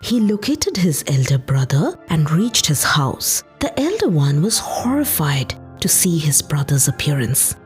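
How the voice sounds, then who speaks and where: A woman narrating a folktale for a podcast, with expressive, dramatic and deliberate phrasing. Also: sits low. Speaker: podcast storyteller. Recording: music behind the voice. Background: background music.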